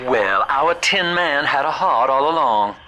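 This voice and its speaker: A scheming Southern American businessman in a video game, with a bright, forward voice. He talks in folksy, avuncular sales patter, always working an angle. Faint noise sits behind the voice. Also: mid-range voice, lively intonation, unhurried, low-quality recording. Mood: wry, pleased. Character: folksy, scheming male businessman, smooth opportunist guide. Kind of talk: video game voice acting, radio guidance.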